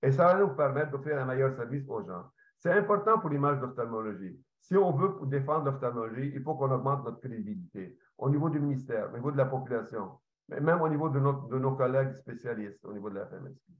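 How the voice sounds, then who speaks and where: A man's voice: mid-range voice, animated, fast talker, hardly any pauses. Earnest man, echoey lo-fi sound. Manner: earnest, with hesitant restarts. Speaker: ophthalmologist presenter. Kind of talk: conference talk. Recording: echoey, dull lo-fi audio.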